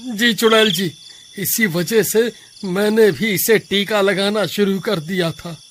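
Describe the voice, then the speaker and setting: A man's voice: high voice, a little slow, background noise. A man voicing a greedy, cowardly village milkman in a melodramatic cartoon story, whiny and exclaiming. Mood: pleading, submissive.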